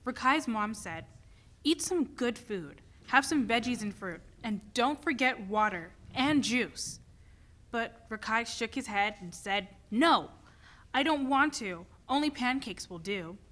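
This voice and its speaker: A woman's voice: on the high side, expressive, measured pace, a bit bright. A woman playing a stressed, driven mother and restaurant owner in a dramatic play reading. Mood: storytelling.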